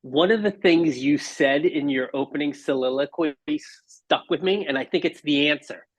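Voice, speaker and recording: A man speaking as a podcast guest, explaining his points articulately in conversational answers with emphatic pauses. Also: on the high side. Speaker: podcast guest, audio industry marketing executive. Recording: dull, band-limited audio.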